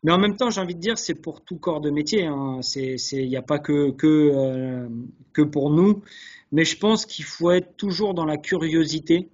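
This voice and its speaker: A man's voice: on the high side, moderate pace, darkish tone. A man answering interview questions earnestly, hesitating and repeating words as he searches, on dull webinar audio. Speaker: guest, chef sommelier. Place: online video call.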